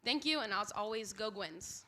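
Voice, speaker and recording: A young woman, a college softball player, giving a grateful, upbeat prepared speech at a banquet. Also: medium pitch, expressive, moderate pace, very noisy. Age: young adult. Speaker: student athlete.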